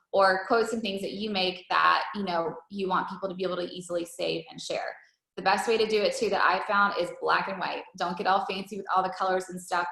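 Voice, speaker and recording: Woman, coach on a team call, creaky voice, chatty and wordy, roomy echoey recording. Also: mid-range voice, bright, forceful tone. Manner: wordy, conversational, peppered with rhetorical check-ins. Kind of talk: informal, chatty coaching presentation.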